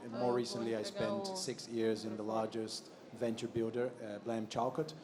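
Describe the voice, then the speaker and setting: A man's voice: fairly low pitch, flat delivery, normal speed, darkish tone, a little hiss or hum. A man from Brazil with a Brazilian accent, speaking professionally as a panelist on stage. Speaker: panelist, AI consultant. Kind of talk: professional self-introduction on a panel. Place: stage at a conference. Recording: audible crowd in event hall.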